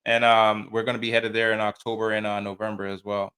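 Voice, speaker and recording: Male podcast host, laid-back and friendly, with a bright, forward sound. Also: fairly low pitch, flat delivery, quick pace. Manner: casual, friendly, often says "man". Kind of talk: conversational interview.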